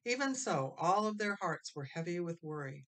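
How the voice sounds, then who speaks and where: A woman narrates a storybook in a rather low voice. Her delivery is scripted and expressive, made for reading a tale aloud to listeners. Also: normal speed. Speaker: storybook reader.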